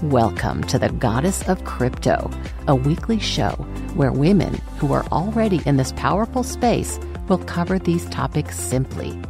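A woman reading a scripted show intro in a slow, lilting, affirming way. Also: sits low. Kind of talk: scripted narration. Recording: voice over music.

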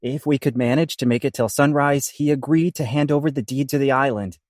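A man telling a scripted audio drama story in an ominous, deliberate manner. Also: mid-range voice, a little mellow, dry recording, clean studio sound. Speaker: podcast host performing in a comic play.

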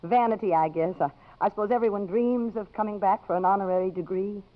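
A woman's voice: mid-range voice, fast talker, close to an everyday voice. Actress playing a poised, strong-willed career woman, vintage radio. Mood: flustered, wistful. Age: middle-aged. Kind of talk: 1950s radio drama acting. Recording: muffled vintage 1950s radio recording.

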